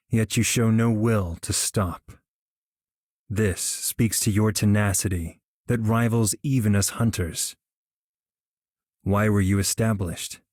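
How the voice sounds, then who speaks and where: A man's voice: fairly low pitch, a little mellow, no room sound, studio quality. A man voicing a stern, grave monster hunter who speaks demandingly in a video game. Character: stern, challenging male sea-monster hunter. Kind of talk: video game character voice line.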